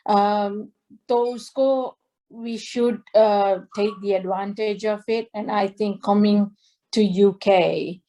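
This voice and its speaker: A middle-aged woman from Tanzania, a singer on a video call, talking slowly with many fillers and restarts. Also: mid-range voice, steady tone, warm tone, low-quality recording. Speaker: guest singer. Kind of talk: interview over video call. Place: indoor home room.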